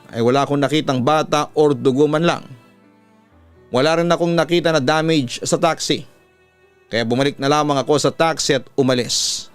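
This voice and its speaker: A Filipino man working as a radio-style narrator, reading a first-person horror story in a measured, deliberate way over music. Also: fairly high pitch, clean tone. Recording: music playing under the voice. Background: background music.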